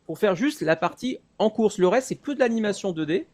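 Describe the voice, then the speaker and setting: A man's voice: high-pitched, lively intonation, fairly quick, a little mellow. A man, explaining and discussing casually as a panelist on a talk show.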